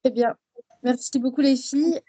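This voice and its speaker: Higher-voiced woman host in dry sound. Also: fairly even intonation, normal speed, dark tone, husky, dry recording, poor audio. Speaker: host, interviewer. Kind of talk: casual chat.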